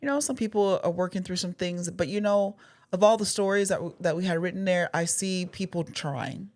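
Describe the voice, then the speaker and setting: A woman's voice: fairly low pitch, fairly quick, a little mellow. A woman co-hosting a podcast in casual chat, talking earnestly and circling back over her words. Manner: earnest, rambling with many restarts and fillers. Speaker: podcast co-host.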